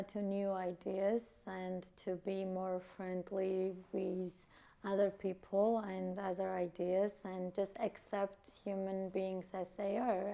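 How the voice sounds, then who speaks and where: A woman's voice: medium pitch, creaky, dark tone. A woman in her twenties from Georgia, a volunteer speaking tentatively with many small hedges. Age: 20s. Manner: slow, unhurried, tentative.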